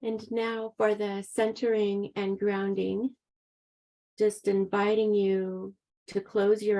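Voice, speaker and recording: Woman with a mellow voice, very slow and soothing, leading a grounding meditation. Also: medium pitch. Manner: soft, soothing, unhurried. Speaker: meditation guide. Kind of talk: guided meditation.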